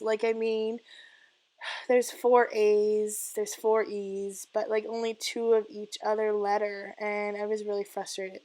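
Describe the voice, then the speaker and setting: A young woman from Canada with a husky, croaky voice, casually narrating her scrapbooking in a home basement, full of "uh" fillers and soft laughs. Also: mid-range voice, dry recording. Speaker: scrapbooking vlogger. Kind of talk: casual voice-over narration of crafting. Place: home basement craft area.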